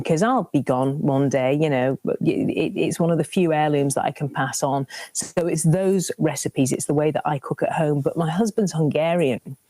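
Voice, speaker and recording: A woman, a cookery presenter telling stories in an animated way with many restarts during a radio interview. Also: sits low, no echo. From Lancashire, England. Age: 50s.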